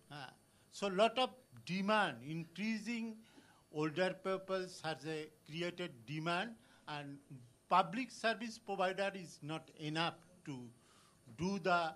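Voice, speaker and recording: A man from Bangladesh making a halting comment from the audience at a conference, with many pauses and restarts. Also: high voice, fairly bright tone. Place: conference hall.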